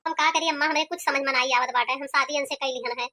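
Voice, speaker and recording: A woman's voice: high-pitched, fairly even intonation, quick pace, bright, forceful tone. A woman voicing a complaining, stubborn young village groom in an Awadhi-accented cartoon comedy. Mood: protesting. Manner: complaining, argumentative.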